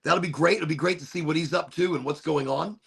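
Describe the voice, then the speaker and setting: A man hosting a casual livestream, hoarse from losing his voice, talking in an upbeat, rambling, repetitive way. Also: a little high, fast talker, a bit bright. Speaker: livestream host.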